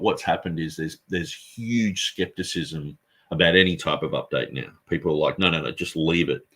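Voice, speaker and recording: A man's voice: sits low, husky. A man, a street preacher and Bible translator, answering an interview question in an earnest, rambling way with frequent restarts.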